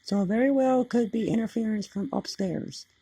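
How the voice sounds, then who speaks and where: Husky, gravelly woman hosting a hobby video. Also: a little high, moderate pace, mellow, rough recording. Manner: explanatory, apologetic. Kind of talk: casual demonstration narration.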